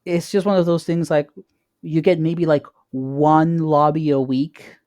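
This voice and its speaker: A man co-hosting a podcast, chatting informally and haltingly, often repeating words as he goes. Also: fairly high pitch, little pitch movement, darkish tone, no echo, studio quality. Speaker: podcast co-host. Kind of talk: casual chat.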